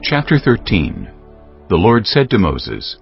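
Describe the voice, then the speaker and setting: Male narrator, very deep pitch, measured, solemn, scripted scripture reading, muffled audio, music underneath. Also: wildly swooping pitch, creaky, husky.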